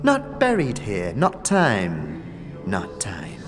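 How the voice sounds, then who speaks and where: Creepy male dustman, sing-song riddles, swooping pitch, long reverb, fantasy audio drama. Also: huge pitch swings, extremely slow, heavily acted. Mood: eerie, detached. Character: eerie crooked-smiling male dustman who mourns the dead. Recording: long reverb, loud music underneath.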